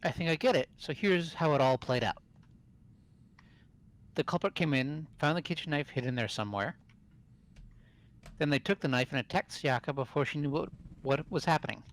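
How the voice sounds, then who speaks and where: A man voicing an excitable, eager young student in a fan-dubbed mystery game. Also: fairly high pitch, normal speed, long pauses, natural voice. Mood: eager, explaining.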